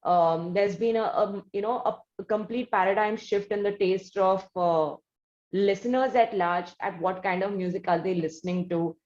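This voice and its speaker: A woman's voice: medium pitch, fairly even intonation, normal speed, a little mellow. A woman with an Indian accent hosting an interview, speaking in wordy, emphatic, carefully articulated phrases. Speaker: interviewer, show host. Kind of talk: interview talk, measured praise and questions. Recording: dull, band-limited audio.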